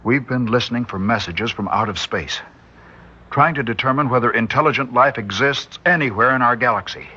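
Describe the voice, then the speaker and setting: A man's voice: mid-range voice, fairly quick, bright, forceful tone, husky, dull audio. An actor playing an earnest, worried male scientist in an old radio drama. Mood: grave. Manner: earnest, speculative, urgent. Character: scientist doctor, grave, warning, male. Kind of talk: 1960s radio drama acting.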